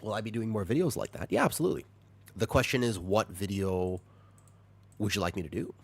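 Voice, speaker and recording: A man streaming a video game, chatting with viewers, reacting with excited high bursts and then dropping into quiet asides. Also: brisk, breathy edge. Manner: animated, jumps into high excited bursts while reading chat; drops to low, quiet asides while setting up. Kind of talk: live-stream chat while gaming.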